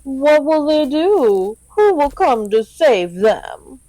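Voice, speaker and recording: A woman's voice: sits high, very animated, takes it slowly, dark tone, heavily acted, low-quality recording. A woman playing a theatrical, sarcastic masked slasher killer who scolds her victim in a horror-comedy audio roleplay. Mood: taunting, menacing.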